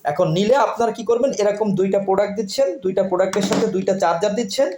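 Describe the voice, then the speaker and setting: Bangladeshi interviewer talking super fast, keeps repeating stuff to confirm, roomy echo. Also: medium pitch, darkish tone. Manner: repeats and sums up details to confirm. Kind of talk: question-and-answer product promo. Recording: echoey room.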